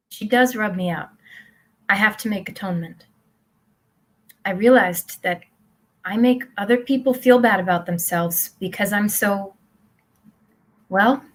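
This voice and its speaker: Woman acting slow, high-voiced, self-righteous praying mother. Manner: earnest, rambling, self-absorbed, chatty confiding prayer. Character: pious, self-congratulating mother praying aloud in a cafe. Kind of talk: live play reading.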